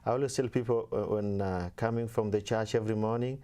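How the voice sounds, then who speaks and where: Young male seminarian guest from Kenya, mellow low voice, hesitant and gentle. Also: steady tone, fast. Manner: soft-spoken, many fillers, laughs easily. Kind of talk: casual interview talk.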